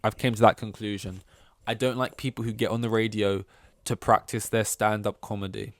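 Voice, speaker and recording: Low-voiced man, rambling sweary podcast host. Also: little pitch movement, moderate pace, dry recording, studio quality. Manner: rambling, sweary, sarcastic, acts out mock quotes. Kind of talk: casual opinionated sports ranking commentary.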